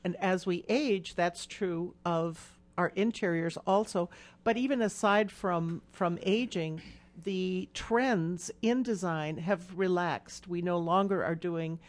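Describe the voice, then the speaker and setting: A woman, a designer appearing as a guest, explains her work in measured, articulate conversation. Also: lowish voice. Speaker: interior and exterior designer, guest.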